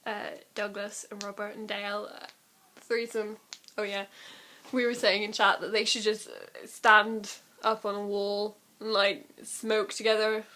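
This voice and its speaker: A woman's voice: medium pitch, level tone, measured pace, sharp tone. A young woman chatting casually and ramblingly to camera as an amateur vlogger, with a giggly, self-deprecating, informal manner.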